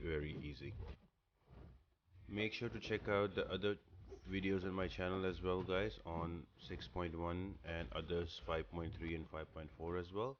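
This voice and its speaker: This is a man with a low-pitched voice. He narrates a gameplay guide in a casual, instructional way. He explains tactics as the host of a walkthrough. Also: muffled recording, a little hiss or hum.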